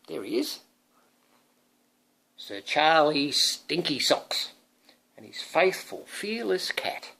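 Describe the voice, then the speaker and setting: A man reads a children's picture book as a dad to his young son, with a lively, swooping pitch. He takes his time, stretching out key words, and breaks off into chatty asides to the child. His voice is a little husky. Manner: expressive, drawing out words and prompting the child listener. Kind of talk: storybook read-aloud with chatty asides.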